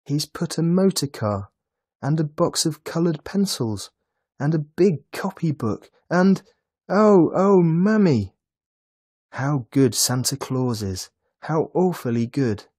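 An excitable little boy from a children's storybook, acted out by a man. The voice is lifted high and pushed louder, breathless and sweetly gushing. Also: slow pace, hardly any pauses, breathy edge, exaggerated voice. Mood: excited delight. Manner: breathless, exclaiming.

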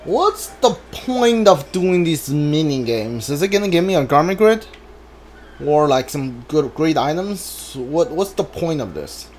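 Slow-paced man commentating over game music. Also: a little high, very animated. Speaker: video game streamer. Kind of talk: casual let's play commentary, sometimes reading game text aloud. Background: video game music.

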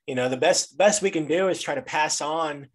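Man, slightly high pitch, casual interviewer, conversational, repetitive phrasing. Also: moderate pace. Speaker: interviewer, host.

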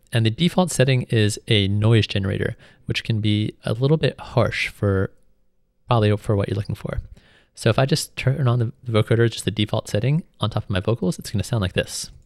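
A man giving an informal, step-by-step tutorial as a music software instructor. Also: lowish voice, vocal fry, no echo, clean studio sound. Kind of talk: tutorial narration, explanatory.

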